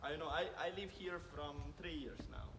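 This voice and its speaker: A man's voice: on the high side, fairly even intonation, moderate pace. A young Italian man with an Italian accent, giving a chatty winery tour outdoors and piling up "very" for emphasis. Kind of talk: guided tour talk to a group. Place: outdoors in a stone village street. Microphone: phone camera recording from within the crowd. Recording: distant phone audio with loud outdoor noise.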